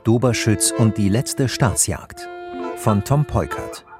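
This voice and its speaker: Male announcer, unhurried, lively intonation, drama credits, plucked guitar behind. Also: on the low side. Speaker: announcer reading cast credits. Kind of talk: scripted radio drama credits.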